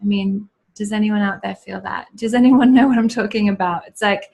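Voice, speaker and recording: A woman guest on a video call, telling a personal story in an earnest, reflective, spiritual way with many pauses and fillers. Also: medium pitch, fairly even intonation, vocal fry, a little mellow. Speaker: guest, yoga teacher and school founder. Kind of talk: casual interview storytelling.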